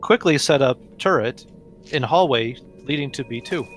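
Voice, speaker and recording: A man's voice: medium pitch, expressive, normal speed, soft background music, close to an everyday voice. A man voicing an android that reports threats in clipped, formal, emotionless phrases in a tabletop game. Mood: flat, clipped.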